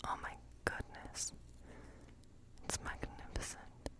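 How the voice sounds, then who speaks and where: A woman playing a quirky, playfully spooky witch in a whispered ASMR role play, murmuring haltingly and repeating words to herself. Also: close to an everyday voice. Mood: delighted, excited. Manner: hushed, halting, muttering repetitions to herself.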